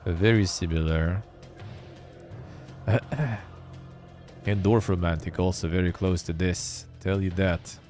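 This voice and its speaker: A deep-voiced man hosts a live stream in a loose, offhand way, muttering to himself and reading text aloud with dry little remarks. Soft music plays quietly behind him. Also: steady tone, slow pace, long pauses. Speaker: streamer and show host. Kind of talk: casual live-stream commentary.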